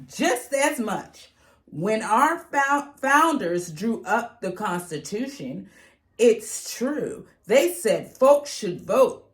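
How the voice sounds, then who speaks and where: A middle-aged American woman, an educator, reading a children's book aloud and explaining it in a deliberate, instructive way. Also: medium pitch. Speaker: educator and host. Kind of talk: children's picture book read-aloud with teaching.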